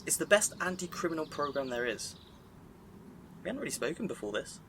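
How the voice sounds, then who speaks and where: Lively, high-ish amiable man neighbour. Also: fairly quick, noisy background. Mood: upbeat. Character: amiable, naive, chatty male neighbour, community-minded. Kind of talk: naturalistic audio drama acting.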